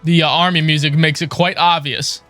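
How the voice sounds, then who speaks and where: A man's voice: on the high side, flat delivery, moderate pace, bright, forceful tone, no echo. A man giving casual live gameplay commentary as a streamer, with a playful, wry way of talking. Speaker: game streamer. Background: faint game music.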